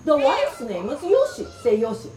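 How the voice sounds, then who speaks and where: Give me a lively, high-voiced storyteller woman, recorded from a distance in an echoey room. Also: crowd noise. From Japan. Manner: lively, slow and clear, asks the audience to repeat words. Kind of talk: interactive storytelling for children. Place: room with a live child audience. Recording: roomy, distant sound with faint background noise.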